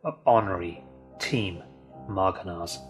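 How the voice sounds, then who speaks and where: A man playing a self-important group member in a comic web film, speaking with deadpan mock formality. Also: low voice, a little slow, over music. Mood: mock solemn.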